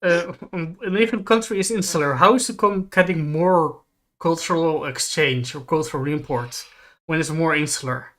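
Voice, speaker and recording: A man co-hosting a stream, talking in a casual, analytical way with a hesitant, measured manner. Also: a little high, fairly bright tone. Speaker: stream co-host.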